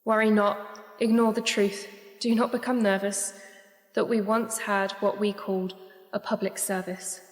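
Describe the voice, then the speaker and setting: A woman's voice: mid-range voice, little pitch movement, close to an everyday voice. Echoing woman poet played by an actress, declamatory. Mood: grave, accusing. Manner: deliberate, declamatory, rhetorical. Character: earnest woman political poet performing her verse on stage. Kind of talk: rhymed spoken-word poetry recital. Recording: echoey hall reverb with music.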